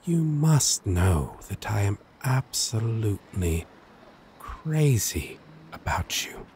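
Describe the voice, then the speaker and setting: Man acting as male demon, ASMR roleplay, low-pitched, drawn-out halting delivery, flirty, self-deprecating, slight background noise. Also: sing-song, darkish tone, full character voice. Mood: exasperated, lovestruck. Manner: halting, hesitant, with long pauses. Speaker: audio roleplay voice actor.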